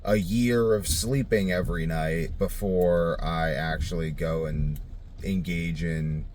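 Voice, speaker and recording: A man's voice: sits low, fairly even intonation, slow, darkish tone, slight background noise. A man talking casually off the cuff for a video diary, thinking aloud in a rambling way. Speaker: vlogger.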